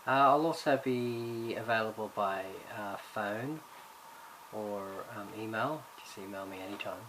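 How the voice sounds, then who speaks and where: A man's voice: fairly low pitch, unhurried. Hesitant man introducing a university subject. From Ireland, raised in Australia. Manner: hesitant, many fillers and restarts. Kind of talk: recorded course introduction. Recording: background noise.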